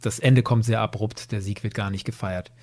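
A man's voice: lowish voice, fairly even intonation, normal speed, darkish tone, no echo. A man hosting a podcast, giving his opinions analytically in a conversational review discussion. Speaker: podcast host.

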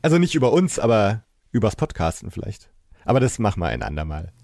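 A man's voice: fairly low pitch, big pitch swings, fast talker, vocal fry, a little mellow, no room sound, clean studio sound. A man chatting casually as a podcast host. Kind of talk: casual chat.

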